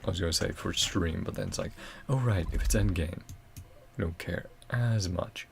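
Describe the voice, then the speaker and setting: A man's voice: low-pitched, normal speed, gravelly. A man giving casual, off-the-cuff gameplay commentary, often muttering half to himself as he plays. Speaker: gaming video creator.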